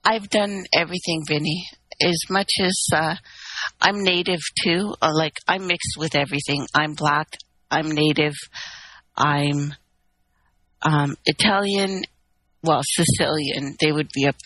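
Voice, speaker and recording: A woman's voice: deep voice, fairly even intonation, unhurried, a bit bright, no room sound, low-quality recording. A woman from Canada, telling a long personal story in a candid, rambling, wry way on a call-in chat. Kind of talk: casual phone-in chat.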